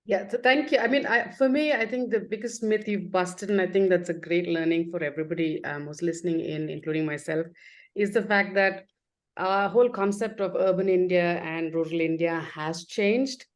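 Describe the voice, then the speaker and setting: A woman's voice: on the low side, normal speed. A woman moderating a panel conversationally, affirming and summing up what speakers say.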